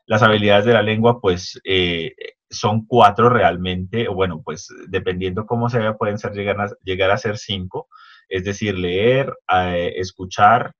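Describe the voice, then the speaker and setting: Low-voiced guy explaining an article, calm and careful, muffled lo-fi audio. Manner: measured and explanatory, hedging with fillers. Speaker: co-host discussing an article. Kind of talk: casual podcast chat, explaining. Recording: dull lo-fi sound.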